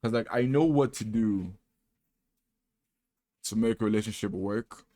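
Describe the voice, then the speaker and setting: A man's voice: on the low side, unhurried, mellow, no room sound. A man in his twenties candidly talking through a personal reflection vlog, self-deprecating and rambling, with casual slang and frequent restarts. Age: 20s. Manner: casual slang, says "bro" often. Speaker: vlogger.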